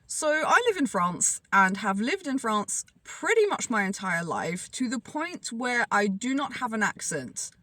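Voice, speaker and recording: A young woman born in the UK, telling a story to camera in a chatty, animated way with lots of fillers. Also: a little high, measured pace, hardly any pauses, dry recording. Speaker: vlogger.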